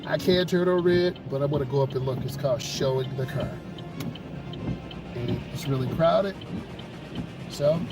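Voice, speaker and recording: A man with a stuffed-up cold calmly explains his driving to viewers while he drives a car in slow traffic. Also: fairly high pitch, recorded from a distance. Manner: calm, unhurried, explanatory. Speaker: driving instructor. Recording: music loud behind the voice.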